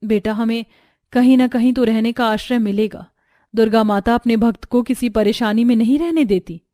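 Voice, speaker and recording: A woman voicing a gentle, sorrowful and devout young daughter-in-law in a moral story, soft and pleading in manner. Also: a little high, steady tone, moderate pace, no echo, studio quality, natural voice. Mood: soothing.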